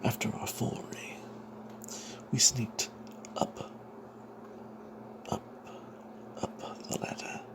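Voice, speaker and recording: Male performer as grudging greedy cleric, breathy ASMR whisper, slow, secretive, hesitant, fantasy storytelling. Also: poor audio. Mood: tense, secretive. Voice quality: breathy whisper with creaky edges. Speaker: narrator of a tabletop fantasy tale.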